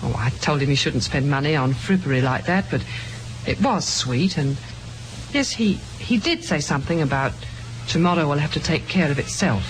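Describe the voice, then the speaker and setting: A woman's voice: sits low, expressive, normal speed, rough recording. A devoted young mother, anxious about her husband. She speaks with wistful sincerity in old radio drama style. The recording has a faint layer of noise. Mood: wistful, worried. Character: worried, loyal young wife and new mother.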